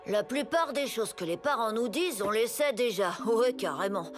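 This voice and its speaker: A woman's voice: a little high, expressive, normal speed, soft background music, natural voice. A woman voicing a cheeky, scheming eleven-year-old boy in a cartoon. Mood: excited. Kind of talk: French animated series dubbing.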